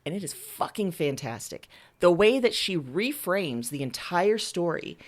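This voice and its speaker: A woman's voice: lowish voice, sing-song, measured pace. A woman writer being interviewed, talking candidly and informally in a casual conversational style.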